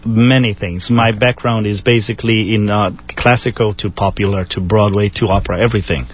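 A man from Brazil with a Brazilian accent, German his first language, talking as a guest on a radio show, relaxed and joking with many fillers. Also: on the low side, fairly quick. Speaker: artistic director, guest. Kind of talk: radio interview. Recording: dull radio studio sound with faint noise.

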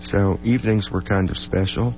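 A man co-hosting a music radio show in casual, unscripted banter, halting and repeating his words as he goes. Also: sits low, fairly even intonation, muffled recording, slight background noise. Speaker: radio co-host who plays music.

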